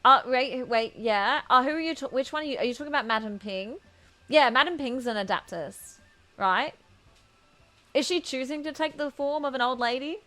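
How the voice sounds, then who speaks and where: A woman's voice: high voice, normal speed, pushed and bright, no echo. A woman livestreaming a game on a close mic, reacting casually with gushing, affectionate exclamations as she chats to viewers. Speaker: game streamer. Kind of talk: casual live playthrough reactions. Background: faint music.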